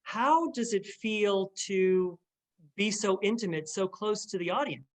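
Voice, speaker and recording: A man hosting a casual interview, speaking effusively in halting phrases. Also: sits high. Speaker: interview host.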